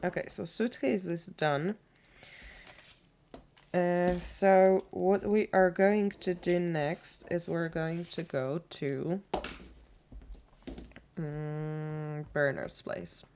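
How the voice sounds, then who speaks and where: A woman streaming a game in a mixed Polish and British accent that comes across as American. She reads case files and diary pages aloud, thinking out loud and catching small slips as she goes. Her voice sits low with a gravelly fry. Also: steady tone, very slow, pauses often, a little hiss or hum. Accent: mixed Polish and British, American-sounding. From Poland. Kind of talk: game stream commentary, reading in-game notes aloud.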